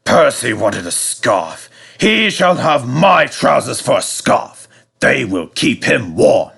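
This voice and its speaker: A man's voice: mid-range voice, sing-song, slow pace, gravelly, a bit bright, husky, full character voice. A man voicing a pompous, cross railway controller in a storybook, indignant and scolding. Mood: sternly ironic.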